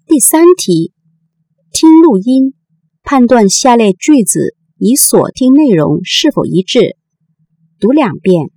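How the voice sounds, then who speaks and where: A woman reads a textbook listening exercise aloud, slow and deliberate, with every word clearly shaped. Her voice sits fairly high and moves a lot in pitch. The sound is dry, close and studio-clean. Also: very animated, dark tone, no echo. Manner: slow, careful, deliberate enunciation. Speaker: listening-test narrator.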